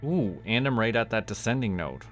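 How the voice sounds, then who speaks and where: A man's voice: lowish voice, very animated, moderate pace, a bit bright, faint music behind. A man giving casual livestream game commentary, thinking aloud as he goes. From Canada. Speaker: game streamer.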